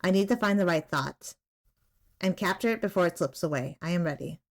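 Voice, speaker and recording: A woman doing a casual let's-play, reading game text aloud and murmuring to herself in a halting way. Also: lowish voice, animated. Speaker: gaming streamer playing a visual novel.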